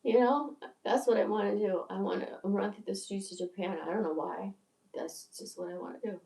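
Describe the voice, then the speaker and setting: A woman's voice: mid-range voice, a little fast, gravelly, warm tone. A woman in her sixties rambling through a candid, profane monologue in a livestream, with stammering restarts. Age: 60s. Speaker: video creator. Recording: echoey room, distant from mic.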